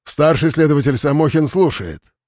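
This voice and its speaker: A man's voice: medium pitch, animated, moderate pace, a little mellow, dry recording, studio quality. A man voicing a stern, weary older police investigator in an audiobook, with a clipped, commanding manner. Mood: stern, alert.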